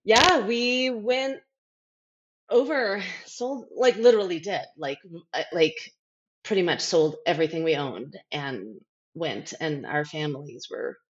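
Woman, middle-aged, lowish voice. Candid interviewee, halting and unfinished phrasing. Muffled, lo-fi capture. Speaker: interview guest, former overseas aid worker.

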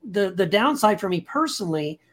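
A man's voice: high-pitched, big pitch swings, a bit bright. A man, a podcast guest and author, talking casually and earnestly in an interview, often restarting his sentences, into a podcast microphone. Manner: earnest, reflective, speaks in halting restarts.

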